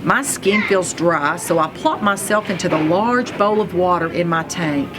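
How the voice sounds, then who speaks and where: A woman reading a children's story aloud in an expressive, playful manner. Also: lowish voice, a little slow, background noise. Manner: expressive, playful, acts out sounds and exclamations. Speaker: read-aloud reader, school superintendent.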